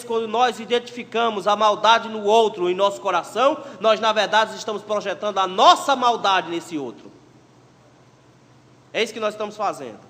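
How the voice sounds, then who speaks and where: A male pastor with a Pernambuco accent delivers a sermon in a high voice. He swings between forceful exhortation and hushed asides. The sound carries the long reverb of a church. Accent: Pernambuco Portuguese. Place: church sanctuary.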